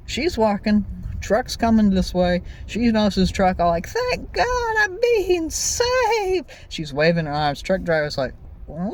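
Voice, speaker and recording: A man giving an exasperated, profane, comedic movie review in a conversational storytelling way, with exaggerated emphasis. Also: sits high. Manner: exaggerated, animated emphasis with repeated phrases. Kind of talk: ranting, comedic film review commentary.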